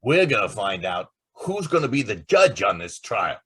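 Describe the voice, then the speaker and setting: A man playing a determined, wisecracking newspaper reporter with a husky voice in an old time radio drama. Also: lowish voice, very animated, normal speed, fairly bright tone, full character voice. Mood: brisk, determined.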